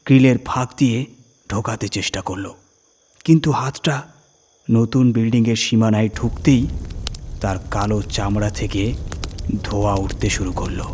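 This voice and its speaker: A man with a husky voice, narrating a scary first-person story with suspenseful, deliberate phrasing and dramatic emphasis. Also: medium pitch, a little mellow, a little hiss or hum.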